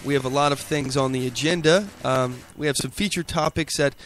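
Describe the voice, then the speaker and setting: A man hosting a casual political podcast while sick and losing his voice, halting and repeating words often. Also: medium pitch, a bit bright. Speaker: podcast host. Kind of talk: casual political talk show.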